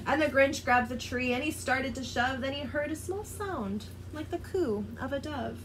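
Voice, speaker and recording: A woman reading a children's rhyming book aloud, in an expressive, sing-song delivery. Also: high voice, normal speed, background noise. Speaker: storyteller.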